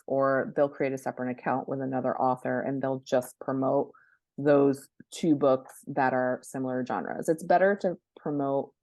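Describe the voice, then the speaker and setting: A woman's voice: a bass voice, gravelly, a little mellow, dry recording. A woman speaking as a guest expert in an interview, explaining things informally with practical examples. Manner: conversational, gives practical examples and step-by-step advice. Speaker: guest expert, book publishing professional and influencer. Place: indoors by a window, at a laptop.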